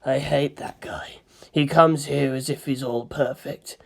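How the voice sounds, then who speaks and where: A woman's voice: a bass voice, steady tone, a little slow, vocal fry, darkish tone, full character voice. A woman voicing a hot-headed, self-blaming teenage schoolboy in a fan fiction reading. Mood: bitter.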